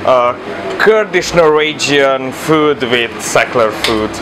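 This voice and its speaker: A man informally explaining something to camera in halting, non-native English. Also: mid-range voice, animated, crowd noise, rough recording. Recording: clear background room noise.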